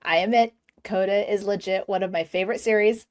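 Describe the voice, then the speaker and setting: A woman's voice: medium pitch, steady tone, brisk. A woman hosting a fan podcast, chatting eagerly with her guest and laughing often. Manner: bubbly, laughs often, enthusiastic. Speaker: podcast host. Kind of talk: casual fan interview.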